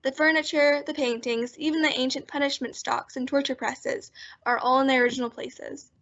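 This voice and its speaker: Earnest townsperson, played by a woman, high voice, cautious, radio drama, muffled lo-fi recording. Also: steady tone, brisk, a bit bright. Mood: formal, official. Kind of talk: old-time radio drama acting. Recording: dull lo-fi audio.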